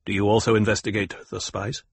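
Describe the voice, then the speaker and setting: Man playing a calm, gravelly, commanding duke. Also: fairly low pitch, no echo. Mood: probing, cool. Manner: measured, courteous, authoritative. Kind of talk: audiobook character acting.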